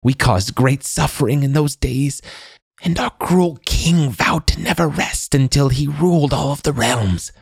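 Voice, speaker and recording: Casting: ragged old crone mage played by a male narrator, high, breathy croak, secretive and bitter, fantasy audiobook. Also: dry recording, clean studio sound, heavily acted. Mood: eager, spilling out. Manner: halting fragments, then eager outpouring. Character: hunched ragged old woman, eager, bitter outcast mage.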